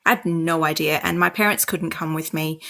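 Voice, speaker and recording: A young woman from Sri Lanka, a doctor telling her story in a casual interview, lively and self-mocking. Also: sits low, a little fast, vocal fry. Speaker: doctor, guest.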